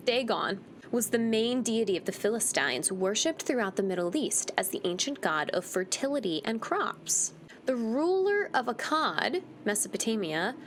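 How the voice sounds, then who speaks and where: A woman's voice: medium pitch, fairly bright tone, a little hiss or hum. A woman hosting a game playthrough, reading text aloud and commenting on it in a casual, wry, playful way.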